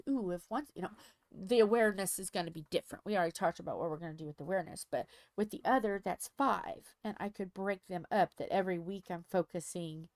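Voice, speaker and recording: A woman's voice: medium pitch, a little mellow, dry recording. A middle-aged woman hosting a diamond painting livestream, chatting casually and rambling as she thinks aloud with many fillers, a little stuffy.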